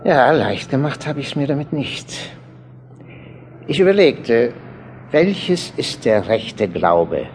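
A man playing an earnest, sceptical passer-by in a German radio play, arguing deliberately and with emphasis. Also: medium pitch, big pitch swings, a little hiss or hum. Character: earnest male passer-by, sceptical seeker outside the church.

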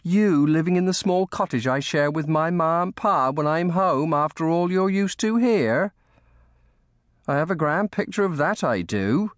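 A man's voice: a little high, unhurried, no echo, clean studio sound, voice pushed up, close to an everyday voice. A man voicing a devoted young maidservant in a storybook, fussing over her charge in a motherly, anxious way. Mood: fond, firm. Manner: anxious, motherly scolding and soothing. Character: devoted, fussing young maidservant woman of humble cottage family.

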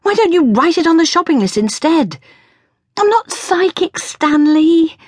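A woman's voice: lowish voice, wildly swooping pitch, moderate pace, husky, no echo, studio quality, pitched above the performer's own voice, heavily acted. Woman acting frazzled sweary mother, voice shooting up shrilly. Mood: exasperated, yelling. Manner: exasperated, snapping and shrieking. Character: frazzled sweary mother, shrill and fretting over her son.

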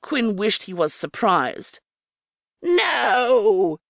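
A woman's voice: fairly low pitch, animated, moderate pace, dry recording, studio quality. A woman narrating a scripted fiction audiobook.

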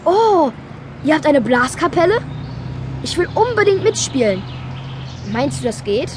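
A woman's voice: high-pitched, big pitch swings, measured pace, background noise. An actress playing a tiny, cheeky, confident young boy in a children's radio play, chirpy and showy in manner. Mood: eager. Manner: chirpy, self-assured, showy.

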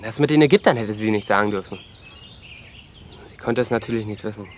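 A man's voice: lowish voice, fairly quick, natural voice. Man acting a guarded, hot-tempered young guy, noisy outdoors. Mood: bitter, confiding. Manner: gruff, defensive. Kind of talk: German audio drama acting.

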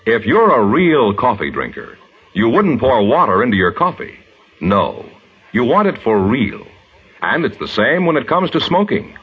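A man's voice: huge pitch swings, normal speed. A man reading a punchy, emphatic radio advertisement in 1950s announcer style. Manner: punchy, emphatic sales pitch. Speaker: commercial announcer. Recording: muffled old radio recording.

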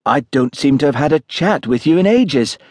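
A man's voice: mid-range voice, very animated, measured pace, a little mellow, no room sound, clean studio sound, pitched above the performer's own voice. A man voicing a friendly, frank, slightly vague doctor's wife in an audiobook. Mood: cheerful. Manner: vague, cheerful, chatty. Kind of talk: audiobook character voice.

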